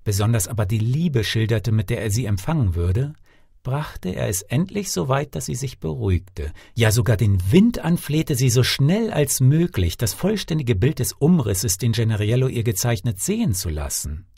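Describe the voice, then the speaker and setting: Expressive male storyteller, lively pitch. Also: normal speed, vocal fry, slightly rough, dry recording, clean studio sound. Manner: theatrical, expressive. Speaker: fairy-tale narrator, actor. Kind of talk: scripted storybook narration.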